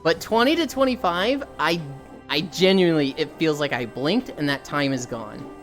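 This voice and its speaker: A woman streaming casually, rambling through stories, swearing and laughing easily. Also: fairly low pitch. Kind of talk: casual chat while streaming.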